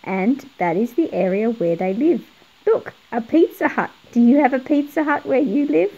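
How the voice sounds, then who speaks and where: A woman's voice: on the high side, expressive, moderate pace, dark tone, highs cut off. A middle-aged woman narrating a picture book for children, explaining things and putting questions to young viewers. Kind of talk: read-aloud narration for children.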